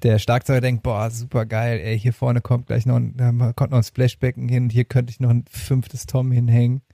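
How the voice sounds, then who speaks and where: A man with a dark, mellow voice and plenty of vocal fry. He speaks casually as a podcast co-host, in a tight, dry recording with no room around him. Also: medium pitch, no room sound. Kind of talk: casual chat, telling jokes.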